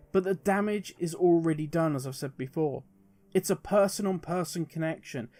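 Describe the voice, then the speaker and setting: Man, high-pitched voice, video host, earnest emphatic commentary, rhetorical questions, faint background music. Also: darkish tone. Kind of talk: opinion commentary to viewers.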